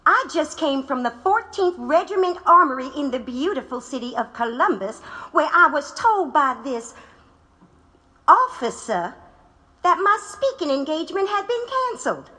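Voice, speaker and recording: Lady doing a fiery anarchist, high bright voice, swoops a lot, takes her time. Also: sing-song, normal speed, full character voice. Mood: defiant. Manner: defiant, sharp-tongued. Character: defiant 1880s female anarchist speaker. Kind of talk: audio drama acting.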